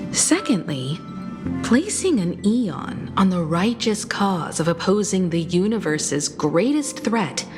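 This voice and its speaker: An actress playing a thoughtful adventurer and adviser in a video game, reasoning things out in an articulate way. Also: female voice, lowish voice. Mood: reasoned, approving. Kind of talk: scripted game voice acting. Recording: loud music behind.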